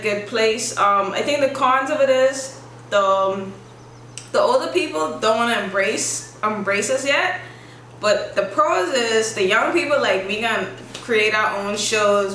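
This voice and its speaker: A young woman with a gentle lilt talks easily about her music and style, drifting loosely from thought to thought. Her voice sits at a middle pitch. She is caught from some distance in an echoing room, with music clearly audible underneath. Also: a little hiss or hum. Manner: soft-spoken, relaxed, loose rambling phrasing. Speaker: musician guest. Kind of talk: casual interview answers.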